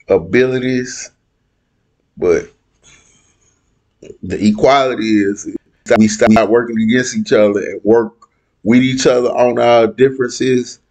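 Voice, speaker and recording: Young guy arguing bluntly, slow drawl, lots of slang and repeats. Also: lowish voice, a little mellow. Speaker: debate panelist. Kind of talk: heated casual debate.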